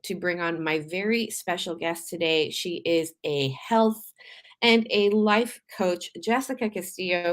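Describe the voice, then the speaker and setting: A woman from Canada hosts a live show in a chatty, animated way. Her voice sits a bit low for a woman and swings widely in pitch. It sounds a little worn from days of talking. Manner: chatty and animated, frequent laughs and restarts. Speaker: podcast host. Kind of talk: casual live-stream monologue.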